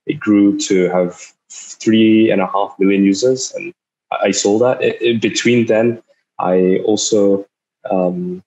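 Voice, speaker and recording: A young man in his twenties from Singapore, a startup founder giving an online talk, speaking haltingly with many fillers and repeated words. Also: lowish voice, fairly even intonation, a little mellow, muffled recording. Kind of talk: online talk over a video call. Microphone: video call.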